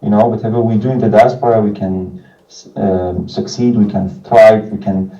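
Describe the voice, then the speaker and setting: A man in his thirties speaks in a very dark, low voice. He gives a prepared talk haltingly, pausing often. The recording is echoey and distant. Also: steady tone, soft background music. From France. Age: 30s. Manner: hesitant, halting delivery with frequent pauses. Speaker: non-profit organization founder and representative. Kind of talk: informal prepared talk by video message. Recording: muffled, distant, echoey video playback.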